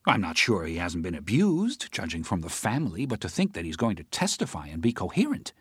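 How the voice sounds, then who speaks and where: A man voicing an agitated, outspoken adult woman who rants with sharp sarcasm in an audiobook. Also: low voice, wildly swooping pitch, slightly rough, no echo, studio quality, exaggerated voice. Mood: indignant. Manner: indignant, rapid sarcastic rants. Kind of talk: audiobook character voice.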